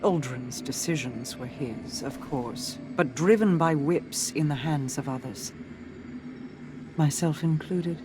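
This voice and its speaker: A woman voicing a calm, commanding alien queen in a video game cutscene, telling a solemn story in a measured way. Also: low-pitched, music in the background. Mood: wistful. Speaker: queen character. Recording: game audio with loud score behind.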